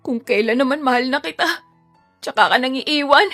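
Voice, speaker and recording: Woman acting a high-pitched, desperate, heartbroken young Filipina. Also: moderate pace, fairly bright tone, faint music behind. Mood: hurt, tearful. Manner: emotional, crying out.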